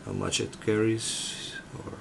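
A man's voice: on the low side, little pitch movement, unhurried, vocal fry, noisy background. A man with a Dutch accent giving casual unboxing commentary, thinking aloud in a hesitant way. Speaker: tech reviewer. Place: indoors at a desk in a room.

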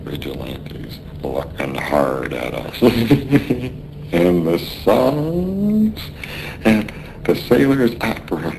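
An actor playing a dying, wistful seasoned sailor in a radio drama, talking haltingly and wearily in a weak, gravelly voice. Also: male voice, big pitch swings, full character voice. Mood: fond reminiscing. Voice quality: gravelly, creaky. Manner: halting, weary, drifting. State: weak, failing. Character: dying, wistful seasoned American sailor reminiscing to his mate. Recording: noisy radio drama with rumbling ambience.

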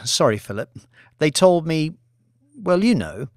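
A male narrator plays a woman assistant in an audiobook thriller. She is polite, deferential and eager to please, with a slightly raised, moving pitch. The sound is dry and close. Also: sing-song, measured pace, dry recording. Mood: polite, obliging.

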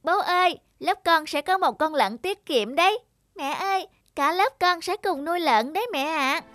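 High-voiced, earnest little cartoon girl. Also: expressive, normal speed, fairly bright tone, dry recording. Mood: happy. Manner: earnest, polite. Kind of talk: cartoon voice acting.

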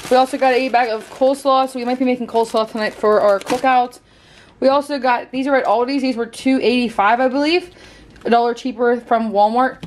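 A woman's voice: fairly high pitch, darkish tone, slight background noise. A young woman from Pennsylvania chatting casually through a grocery haul vlog in her home kitchen, talking to a handheld camera at arm's length. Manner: chatty, bubbly, teasing. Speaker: vlogger.

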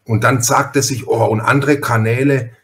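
A man's voice: mid-range voice, steady tone, moderate pace, fairly bright tone. A man from Franconia with a Franconian accent, hosting a casual podcast interview and telling stories in a folksy, chatty, stop-and-start way. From Franconia, Germany. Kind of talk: casual interview chat with storytelling.

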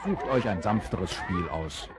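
A man's voice: low voice, lively intonation, measured pace, a loud crowd. A man playing a jovial father running games at a children's party in a 1970s radio play. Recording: hissy old vinyl record.

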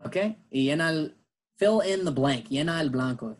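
A man's voice: fairly high pitch, lively intonation, normal speed. A man teaching an online English class, patiently explaining in English and Spanish.

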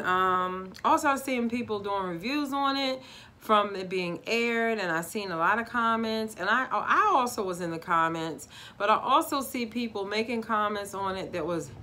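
A woman in her forties from Baltimore with a Baltimore accent, chatting casually to viewers in a living-room video review. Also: mid-range voice. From Baltimore, USA. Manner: animated, talks with her hands, addresses viewers as guys. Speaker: YouTube vlogger. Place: decorated living room, indoors.

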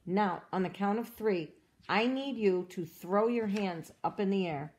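A woman reading a children's storybook aloud as a cheerful young girl explorer, in a gentle, encouraging way that asks the listener questions. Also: mid-range voice, measured pace, lightly acted. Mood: upbeat. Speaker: storybook reader.

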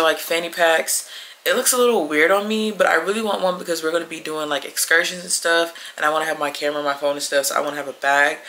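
A woman's voice: fairly low pitch, sharp tone. A woman in her twenties chatting informally to camera in a casual vlog style. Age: 20s. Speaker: vlogger.

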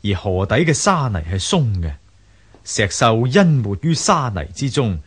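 An actor playing a learned, self-assured gentleman in a radio drama, reasoning deliberately. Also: medium pitch, very animated, slightly rough. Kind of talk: Cantonese radio drama acting.

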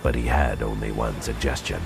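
Very deep, gravelly male storyteller. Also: moderate pace. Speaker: narrator. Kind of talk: dramatic scripted audio storybook narration. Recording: loud music under the voice. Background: loud dramatic music.

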